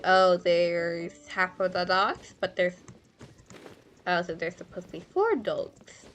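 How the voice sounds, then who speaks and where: A woman's voice: on the low side, muffled recording. A woman streamer giving casual, playful commentary, half talking to herself as she plays a game. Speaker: live streamer.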